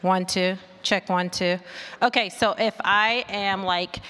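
A woman's voice: medium pitch, steady tone, slow, bright, forceful tone, smooth. American woman presenting in an echoing hall. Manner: chatty, upbeat. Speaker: conference presenter, market research executive. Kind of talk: informal conference presentation. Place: large indoor conference stage. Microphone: stage microphone. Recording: echoing hall, amplified stage microphone.

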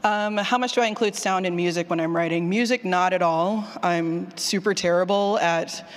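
A speaker giving an informal conference talk on writing, with animated asides and questions repeated back to the audience. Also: mid-range voice, moderate pace. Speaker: presenter, fiction podcast writer. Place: conference session room. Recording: hall with long reverb.

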